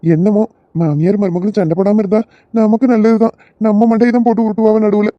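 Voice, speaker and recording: A man's voice: high-pitched, fairly even intonation, fast, noisy background, natural voice. A man playing a bemused young husband in a family skit, wry and puzzled, with a southern Tamil Nadu accent and muffled sound. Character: bemused young husband caught between wife and mother.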